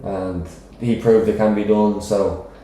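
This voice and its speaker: A young Dublin man talks in a loose, rambling way and keeps checking in with the listener. His voice sits fairly low and sounds dark and mellow. It is recorded in a very echoey room. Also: steady tone, slow. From Ireland. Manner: rambling, frequent "do you know what I mean". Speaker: professional boxer, guest. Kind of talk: casual interview chat.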